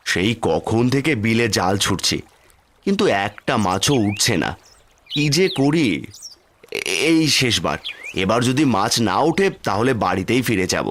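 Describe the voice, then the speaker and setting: A man's voice: on the low side, big pitch swings, measured pace, long pauses, fairly bright tone, a little hiss or hum, full character voice. A man voicing a poor, mild village husband and father in a cartoon fairy tale, placating and self-pitying. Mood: weary, despairing.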